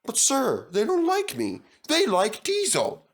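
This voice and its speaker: A man's voice: very high voice, wildly swooping pitch, takes it slowly, pitched above the performer's own voice, full character voice. A man voicing a brave, earnest young steam engine, polite and eager, for a storybook. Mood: humble, surprised. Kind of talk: storybook fandub character voice.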